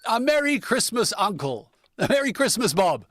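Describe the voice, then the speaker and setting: A man plays a good-hearted young gentleman in an old-time radio play. His voice is high and very animated, with a theatrical, genial earnestness. The sound is close and dry. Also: normal speed, no echo, heavily acted. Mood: hearty. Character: cheerful, kindhearted gentleman, the miser's young nephew. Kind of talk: vintage radio drama acting.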